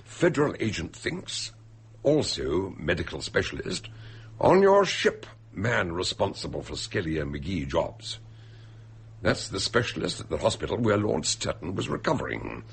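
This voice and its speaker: A man acting in a radio drama as an eccentric, shrewd detective, expounding a murder with deliberate, theatrical emphasis. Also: sits low, big pitch swings, vocal fry, slightly rough, exaggerated voice. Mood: explanatory.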